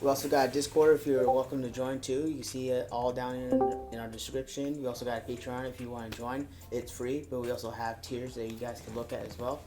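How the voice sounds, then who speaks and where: Quick-talking man over music. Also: medium pitch, flat delivery. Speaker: reaction show co-host. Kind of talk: casual video sign-off.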